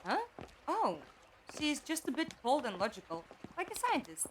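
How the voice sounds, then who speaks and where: Woman acting as practical inquisitive captive, audio drama, high voice, lively intonation, direct, conversational, slightly wry. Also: moderate pace, a little hiss or hum. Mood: thoughtful, apologetic.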